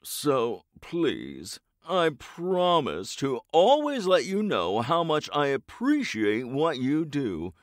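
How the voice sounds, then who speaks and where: A man's voice: on the high side, wildly swooping pitch, slow, few pauses, dry recording, studio quality, full character voice. A man playing a flamboyant, self-important and clueless millionaire superhero in a comedic audio drama, with a theatrical, grandiose manner. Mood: grandly earnest.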